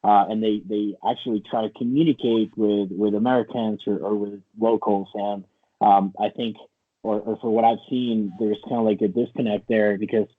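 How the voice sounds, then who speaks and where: A man's voice: on the low side, fairly even intonation, normal speed. A man hosting a casual interview, asking meandering, loosely phrased questions over a thin, narrow-band connection. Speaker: interviewer, host.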